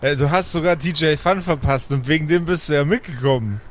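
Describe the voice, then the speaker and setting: Higher-voiced man chatting casually. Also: fairly quick, slight background noise. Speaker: player in a tabletop roleplaying game. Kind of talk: casual improvised chat.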